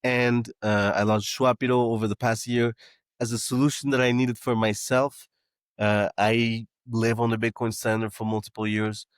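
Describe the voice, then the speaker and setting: A man's voice: lowish voice, little pitch movement, normal speed, smooth, no room sound, studio quality. A man who grew up in Canada, a startup founder talking as a podcast guest, with many restarts and fillers. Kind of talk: casual interview talk.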